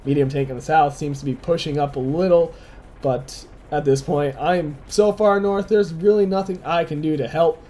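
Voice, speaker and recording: A young man, slightly hoarse from a dry throat, casually commentating a game replay with relaxed chuckles and play-by-play analysis. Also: on the high side, normal speed. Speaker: gameplay commentator.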